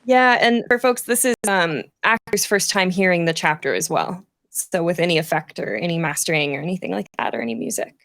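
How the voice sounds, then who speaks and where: A woman's voice: on the low side, lively intonation, fairly quick, few pauses, a bit bright, no room sound. A woman moderating a casual live-streamed conversation, passing questions along to guests. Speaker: host, moderator.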